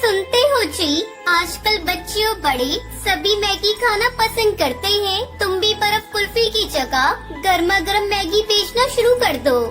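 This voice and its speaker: Young female cartoon bird: squeaky-high, eager, chirpy and emphatic. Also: unhurried, few pauses, music in the background. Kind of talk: Hindi children's cartoon acting.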